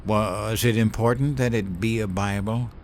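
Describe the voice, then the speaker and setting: An actor playing a dim, eager, boyish new president in a comedic audio drama. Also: male voice, fairly low pitch, steady tone, normal speed, darkish tone, slight background noise, close to an everyday voice. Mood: easygoing. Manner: naive, boyish, easily delighted. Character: childlike, dim, eager newly elected male US president.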